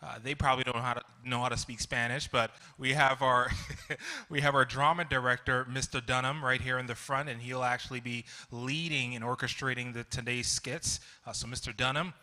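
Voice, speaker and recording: A man hosting a church program, introducing school students and staff in a relaxed, casual way with many fillers, speaking into a handheld mic in a large sanctuary. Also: mid-range voice, brisk, few pauses.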